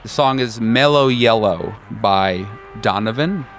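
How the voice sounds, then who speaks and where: A man narrating a tabletop horror game as game master, in a measured storytelling style. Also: medium pitch, a bit bright. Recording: faint music under the voice. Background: music.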